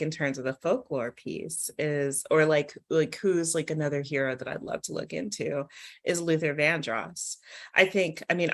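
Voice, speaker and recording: A woman's voice: low-pitched, fairly bright tone. A woman poet talking casually on a literary panel, informal and discursive, with playful asides.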